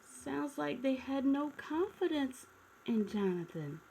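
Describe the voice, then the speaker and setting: A woman hosting story time, reading a picture book expressively, with dramatic pauses and asides to the children. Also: high-pitched, gravelly, a little hiss or hum, low-quality recording. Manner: animated, asks listeners questions, dramatic pauses.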